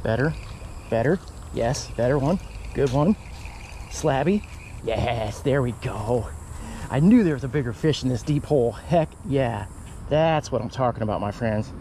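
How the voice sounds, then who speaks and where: A man vlogging while fishing outdoors by a canal, talking casually and breaking into excited exclamations. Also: mid-range voice, measured pace, a little hiss or hum. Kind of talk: casual fishing vlog narration.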